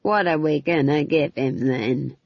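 A woman's voice: sits low, unhurried, no room sound, dull audio. A woman voicing a jolly, bawdy old witch with a cracked voice and a drawling folksy dialect in an audiobook. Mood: gleeful, reminiscing. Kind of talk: audiobook character voice.

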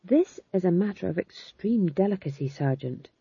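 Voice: mid-range voice, expressive, moderate pace, mellow, breathy edge, no echo, lo-fi sound. Mild, fussy storybook king, performed by a narrator. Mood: polite, hesitant. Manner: polite, hesitant, easily exasperated.

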